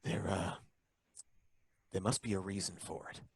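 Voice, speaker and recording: Probing, bumbling inspector. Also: male voice, deep voice, steady tone, husky, no room sound. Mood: suspicious. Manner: probing, hesitant, halting questions. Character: suspicious, bumbling male license inspector. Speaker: license inspector. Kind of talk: live old-time radio drama.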